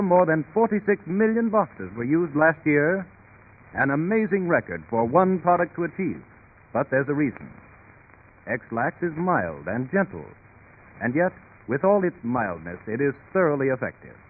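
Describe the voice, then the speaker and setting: An American man announcing and narrating in a polished, dramatic, emphatic 1930s radio style. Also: fairly high pitch. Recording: tinny 1930s radio, music behind.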